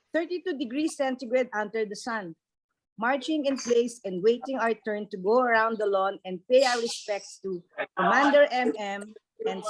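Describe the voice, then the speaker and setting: High-pitched middle-aged Filipino woman. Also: poor audio. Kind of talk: scripted reminiscence at a table read. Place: online video call. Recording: video call with faint noise, clicks.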